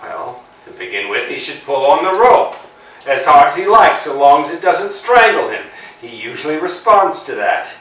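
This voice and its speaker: A pompous blind master, played by a man in a staged reading, who speaks in slow, grand declarations. His voice is bright and rather high. It comes from a distance in a roomy, reverberant space. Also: big pitch swings. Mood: matter-of-fact. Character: blind, pompous older male master Pozzo. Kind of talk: theatrical play reading. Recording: echoey room picked up from afar.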